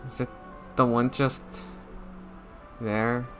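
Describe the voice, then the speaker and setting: A man gives casual gameplay commentary in brief muttered asides. His mid-pitched voice is bright and forceful, and his delivery is very slow. Faint game music plays behind him. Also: flat delivery. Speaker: gamer playing and reacting.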